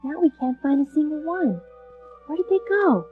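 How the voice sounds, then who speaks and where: An alarmed but plucky young heroine in a read-along storybook drama. Her voice is high-pitched, emphatic and urgent, with soft music behind it. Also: very animated, moderate pace, narrow, tinny audio. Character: alarmed, questioning young woman, plucky girlfriend.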